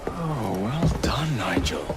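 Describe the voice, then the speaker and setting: Wry cocky gang lad in a game, lively pitch, super noisy rumble. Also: male voice, slow pace. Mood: wry teasing. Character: cocky, wry young Victorian gang leader. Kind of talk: video game cutscene acting.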